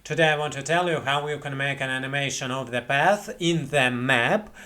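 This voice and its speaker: A young man with a Russian accent, a non-native English speaker, talking through a software tutorial with lots of fillers and restarts. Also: medium pitch, normal speed. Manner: hesitant, many fillers and restarts. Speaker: tutorial host. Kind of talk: screen-recorded software tutorial. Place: small indoor room at a computer.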